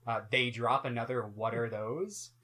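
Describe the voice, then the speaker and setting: A man's voice: mid-range voice, steady tone, normal speed, sharp tone. Hesitant man chatting about a movie. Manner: hesitant, many restarts and fillers. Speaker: co-host of a movie review show. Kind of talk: casual film review chat.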